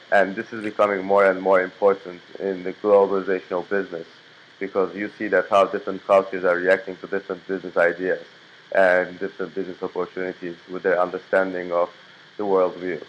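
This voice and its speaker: A man from Turkey, in his twenties, giving a class video introduction in a plain, steady way with frequent fillers and restarts. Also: sits low, a little fast, dull audio, a little hiss or hum. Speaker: student.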